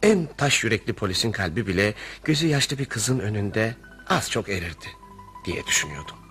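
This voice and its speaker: An earnest, anxious gentleman in a radio theatre piece. His voice is bright and swoops expressively, a little high for a man, with theatrical emphasis and faint music around it. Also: sing-song, brisk. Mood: reflective, wry. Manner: theatrical, reflective storytelling, emphatic protests. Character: earnest, anxious male narrator, sympathetic family friend. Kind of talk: radio theatre narration and dialogue.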